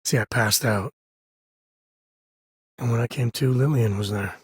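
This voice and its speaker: A man playing an easygoing space-frontier ranger and devoted dad in a video game, with a husky, slightly rough voice and a folksy, wry manner. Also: medium pitch, a little slow, dry recording. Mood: relaxed, wry. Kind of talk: video game character dialogue.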